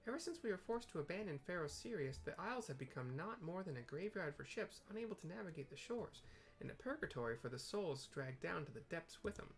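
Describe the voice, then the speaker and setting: Man voicing a grim, raised-pitch jail guard. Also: expressive, a little fast. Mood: ominous. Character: grim jail guard warning of deadly haunted isles.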